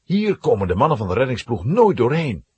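A man's voice: medium pitch, very animated, fairly quick, husky, no room sound. A man narrating a scripted children's audio play in a dramatic storytelling manner.

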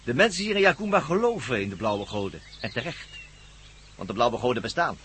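A man's voice: medium pitch, very animated, a little fast, a bit bright, slight background noise. An actor playing a megalomaniac villain in a radio drama, menacing, pompous and theatrical, gloating over his schemes. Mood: grandiose, fanatical. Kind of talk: Dutch radio drama acting.

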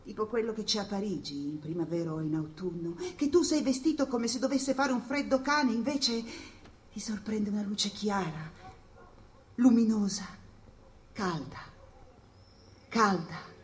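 A woman acting a solitary, haunted spirit in a theatrical radio monologue, incantatory and repeating phrases as the delivery swings between hush and cries. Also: mid-range voice, measured pace, long pauses, natural voice. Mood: wistful, hushed. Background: live jazz percussion and music.

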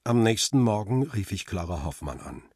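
An actor playing a world-weary middle-aged photographer who narrates a crime radio play in a measured, reflective way. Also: male voice, on the low side, big pitch swings, a little mellow, breathy edge, no room sound, clean studio sound, full character voice. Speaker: first-person narrator.

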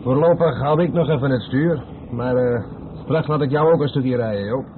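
A man's voice: medium pitch, normal speed, close to an everyday voice. An actor playing a seasoned truck driver, wry and firm as he cautions his mate in a radio play. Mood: wry, cautionary. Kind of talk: old radio play dialogue. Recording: tinny radio recording with loud vehicle noise.

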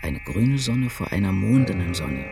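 A man reciting poetry in a measured, incantatory, deliberate way for a radio drama. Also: low-pitched, very animated, gravelly. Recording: music behind the voice. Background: loud music.

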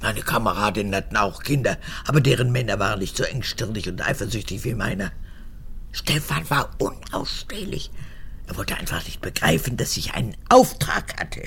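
A woman's voice: very deep voice, sing-song, creaky, fairly bright tone, a little hiss or hum, exaggerated voice. An actress playing a vain, erratic old mother and former officer in a radio drama, her voice cracked and often falling to a whisper. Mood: bitter, proud. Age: 80s. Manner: theatrical, erratic, halting then snapping. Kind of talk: German radio drama acting.

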